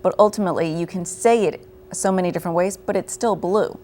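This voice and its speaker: A woman with a slightly low voice, explaining her program to an interviewer. She speaks clearly and works through concrete examples. A faint hiss runs under the recording. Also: fairly even intonation, fast, mellow. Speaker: program coordinator guest. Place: local TV studio.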